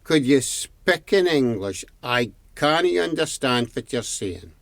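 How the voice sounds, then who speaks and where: A man's voice: medium pitch, animated, no echo. Man playing a dozy, grumpy old Scottish man. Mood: baffled, grumpy. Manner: grudging, questioning, slow to grasp things. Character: slow, dozy, grumpy old male bell keeper.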